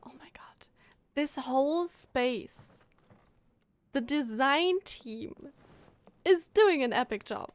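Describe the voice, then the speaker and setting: A woman's voice: high voice, expressive, takes it slowly, pauses often. A woman streaming a game with casual, enthusiastic and reactive commentary.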